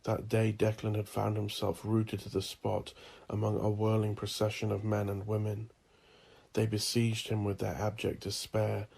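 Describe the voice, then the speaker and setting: A man narrating a dark fantasy audio drama, with a slow, ominous delivery and a gravelly voice. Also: lowish voice.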